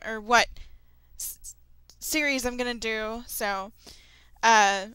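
A woman hosting her channel, chatting casually and excitedly to her viewers in an informal audio update. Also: on the high side, clean tone, no echo, poor audio. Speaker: creepypasta narrator and channel host.

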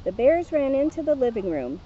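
A woman's voice: high voice, sing-song, fairly quick. A woman in her fifties reading a storybook aloud and chatting casually, recorded outdoors by a camera. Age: 50s. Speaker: police officer reading to children. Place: outdoors by a lake. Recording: slightly noisy, dull outdoor camera sound.

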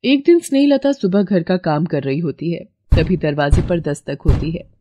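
A woman narrating a scripted moral story in a steady storytelling manner. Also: lowish voice, normal speed, no echo.

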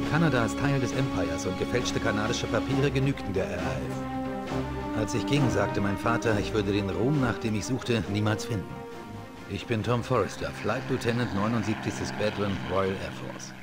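A man's voice: lowish voice, close to an everyday voice. An actor playing a young American pilot in a war game, telling his story in an earnest, brooding voice. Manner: earnest, brooding first-person narration. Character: young American pilot posing as Canadian, ambitious. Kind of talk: game cutscene voice acting. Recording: game audio over loud music.

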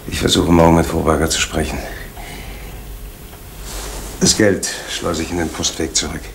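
A man's voice: a bass voice, little pitch movement, moderate pace, vocal fry, a little hiss or hum. An actor playing a brusque, domineering father giving orders in a radio crime drama. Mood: stern. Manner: brusque, commanding.

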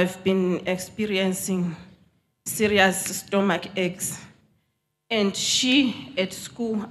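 A woman with a Zimbabwean accent giving public testimony on a handheld microphone, pausing between short phrases. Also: mid-range voice, slight background noise. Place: large hall with congregation.

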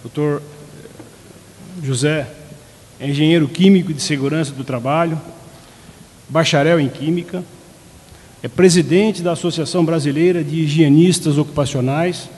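A man's voice: fairly high pitch, steady tone, moderate pace, long pauses, a little mellow. A man in his fifties with a Brazilian accent reads a formal introduction as panel moderator. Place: large conference auditorium. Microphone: table stand microphone. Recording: echoey hall.

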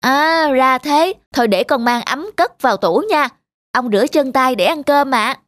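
A woman's voice: high voice, unhurried, fairly bright tone, no echo. A woman voicing an eager, respectful young male servant in a moral folk-tale cartoon, polite and inquisitive.

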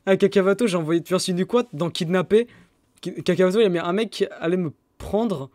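A man's voice: high voice, a little fast, a little mellow, no room sound, studio quality. A young man streaming a game, chatting casually with friends and laughing often. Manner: chatty, laughs often, scrolls through old messages. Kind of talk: casual voice chat while gaming.

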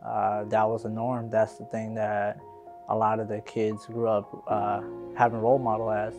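A man's voice: on the low side, monotone, normal speed, lo-fi sound, over music. A man in his twenties speaking earnestly and reflectively in a documentary interview. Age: 20s.

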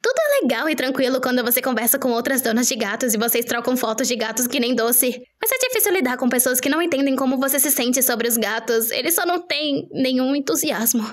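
A woman with a Brazilian accent playing an excitable young cat owner, narrating an animated storytime fandub in a cutesy, expressive way. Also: high voice, fast, few pauses. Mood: chatty narrating.

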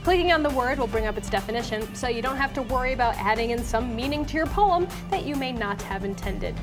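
Female co-host, American, thirties, playful and upbeat, fairly high voice, faint music behind. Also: lively intonation, fast. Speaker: co-host of a tech show. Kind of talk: casual presenting and reading out viewer emails. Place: TV studio at a desk.

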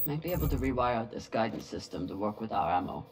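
An actor playing a steady, dry, matter-of-fact spaceship captain in a video game. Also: male voice, on the low side, quick pace, music in the background, lightly acted. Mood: dry, disdainful.

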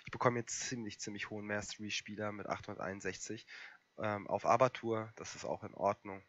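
A young man with a cold, hoarse and coughing, casually commentating a strategy game with lots of fillers. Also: lowish voice, a little fast, no room sound, muffled recording. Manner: relaxed and rambling, many fillers. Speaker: gaming channel host.

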